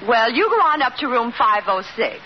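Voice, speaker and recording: An actress playing a brisk, businesslike hospital receptionist in an old radio comedy. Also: female voice, on the high side, very animated. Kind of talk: 1940s-50s radio comedy acting. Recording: muffled old radio recording, faint noise.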